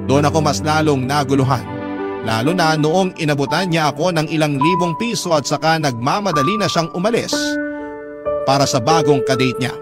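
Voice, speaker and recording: A man's voice: on the high side, moderate pace. A man with a Filipino accent narrating a story in dramatic radio storytelling style. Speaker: narrator reading a letter sender's story. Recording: loud music under the voice. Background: loud background music.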